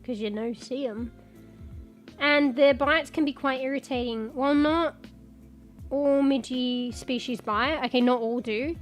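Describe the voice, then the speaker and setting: Congested high-voiced woman streamer. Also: unhurried, faint music behind. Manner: rambling, laughs and swears, reads search results aloud. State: congested, losing her voice. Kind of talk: casual live-stream chat.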